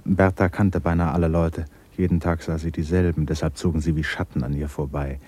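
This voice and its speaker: A man narrating a radio play in a measured, literary storytelling style. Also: rumbling low, level tone, darkish tone, husky.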